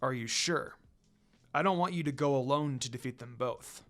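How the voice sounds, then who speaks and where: A man voicing a gentle, devoted male demon lover in a romance story, hushed and tender. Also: medium pitch, normal speed, pitched below the performer's own voice, lightly acted. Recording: music under the voice.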